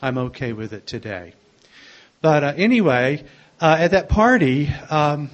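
An older man with a soft voice, sharing his own story in a gentle, wry way. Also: mid-range voice, highs cut off. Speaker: speaker sharing a recovery story. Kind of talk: personal testimony to a group.

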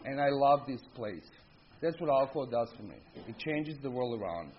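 Middle-aged Croatian-accented man, candid recovery-meeting speaker, punchy and plainspoken; muffled low-fidelity capture with noise behind. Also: expressive, normal speed. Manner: blunt, candid, with short punchy sentences. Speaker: speaker sharing his story at an Alcoholics Anonymous meeting. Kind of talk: personal recovery testimony, informal spoken storytelling. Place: live talk at a recovery meeting. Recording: dull lo-fi audio with clear background noise.

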